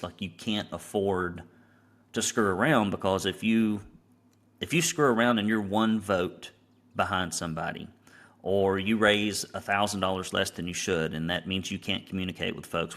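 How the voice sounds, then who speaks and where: A man's voice: low voice, a bit bright. A middle-aged man with a Southern US accent, talking conversationally as an interview guest and telling stories about his work. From Alabama, United States. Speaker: guest, business coach and painting academy founder.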